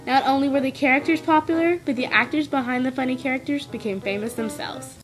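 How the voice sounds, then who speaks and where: A woman's voice: high-pitched, fast talker, over music, low-quality recording. A woman reading scripted educational narration.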